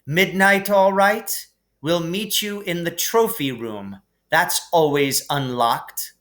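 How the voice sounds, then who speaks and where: A man's voice: fairly high pitch, slow, fairly bright tone, pitched above the performer's own voice. A man voicing an arrogant schoolboy bully with a taunting drawl in a storybook reading. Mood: smug.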